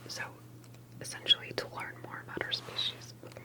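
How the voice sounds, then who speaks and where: A woman speaking entirely in a breathy whisper, performing an ASMR interview roleplay with gentle, hesitant questioning and pauses.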